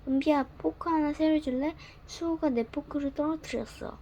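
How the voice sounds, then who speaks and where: A woman's voice: high voice, moderate pace, dark tone, slight background noise, voice pushed up. A woman voicing a young girl who scolds her little brother in a bedtime story. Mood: scolding.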